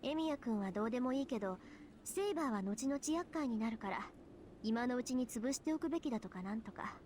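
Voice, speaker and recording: A woman's voice: fairly high pitch, lively intonation, slight background noise, natural voice. A woman voicing a bossy teenage schoolgirl mage. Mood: matter-of-fact. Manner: brisk, matter-of-fact, bossy. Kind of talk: Japanese visual novel voice acting. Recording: game voice with loud music behind.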